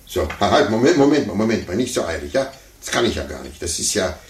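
A puffed-up, condescending man in a 1950s radio play. His fairly low voice leaps about in pitch as he blusters and stammers. The old recording is roomy, with faint noise. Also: big pitch swings, fast talker. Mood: flustered, indignant. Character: pompous adult man, a blustering, sore-losing chess player.

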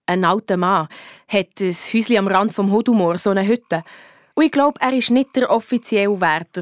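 A woman's voice: mid-range voice, no room sound, lightly acted. A woman playing a young girl detective, narrating a children's radio play colloquially with a Swiss German accent. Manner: colloquial, wry. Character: Lisa, wry young girl detective telling her adventure.

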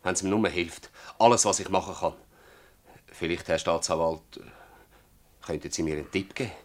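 An actor playing a troubled, stubborn older village farmer with a Swiss German accent, blunt and plaintive, in a radio drama. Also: male voice, low-pitched, moderate pace, natural voice. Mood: distressed, pleading. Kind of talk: radio drama acting in dialect.

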